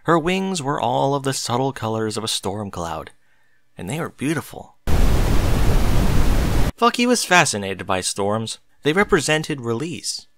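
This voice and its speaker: A man reading a story aloud as an expressive narrator. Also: medium pitch, unhurried, a little hiss or hum.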